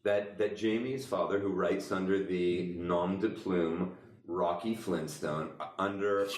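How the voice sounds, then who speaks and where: A man with a very low voice chats as a podcast co-host, sounding a little distant from the mic in an echoey room. He tells comic stories in a wry, wordy way, slipping into mock-formal phrasing. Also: steady tone, a little slow. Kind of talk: casual comedic podcast banter and storytelling.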